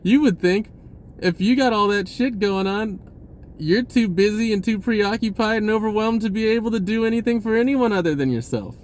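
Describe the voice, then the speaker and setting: Rambling guy with a slightly high voice. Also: normal speed, slight background noise. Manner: rambling, repeats words, swears casually, says "dude". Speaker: spiritual vlogger. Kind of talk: casual spiritual monologue.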